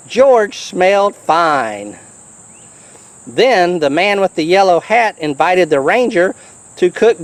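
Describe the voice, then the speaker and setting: Children's storybook reader, lively swooping pitch, slow delivery, chatty asides and exclamations. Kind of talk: children's book reading with playful asides.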